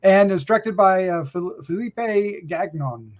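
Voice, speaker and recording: Man, high-pitched voice, jokey casual news host, dull audio. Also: a little slow. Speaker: horror news podcast host. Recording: dull, lo-fi voice track.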